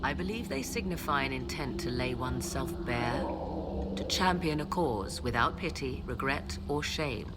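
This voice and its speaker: An actress playing a proud, guarded and ambitious sorceress in a fantasy game, speaking in formal, measured, haughty phrases. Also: female voice, a bass voice, expressive, loud music behind the voice. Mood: knowing, measured. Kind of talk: dramatic scripted game dialogue.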